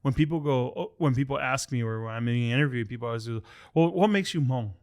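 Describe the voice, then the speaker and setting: A man's voice: mid-range voice, quick pace, a little mellow. Chatty male podcast host. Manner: chatty, joking, repeats words. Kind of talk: casual podcast conversation.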